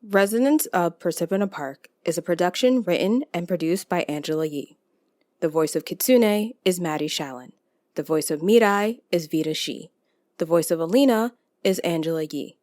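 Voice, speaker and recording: A woman's voice: on the low side, normal speed, a little mellow, dry recording, studio quality. A woman reading the closing credits of an audio drama as scripted narration. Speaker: credits announcer.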